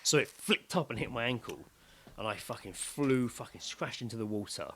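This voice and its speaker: A British man co-hosting a podcast, telling a story in casual chat with sweary, excitable delivery and acting out his own reactions. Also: mid-range voice, brisk, a little hiss or hum. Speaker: podcast co-host.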